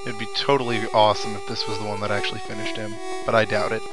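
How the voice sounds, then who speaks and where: A man's voice: lowish voice, level tone, fast talker, poor audio. A man giving casual, chatty let's-play commentary on a video game, with wry asides. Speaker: let's player. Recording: game music behind the voice. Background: video game music.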